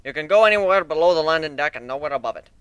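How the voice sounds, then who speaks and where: A man's voice: fairly high pitch, lively intonation, rapid-fire, a bit bright, smooth, no echo, pitched above the performer's own voice. A man voicing a boastful, hearty minotaur airship builder in a dramatic story reading, with a brash, folksy way of talking. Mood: brisk, instructing. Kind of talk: dramatic fan fiction reading.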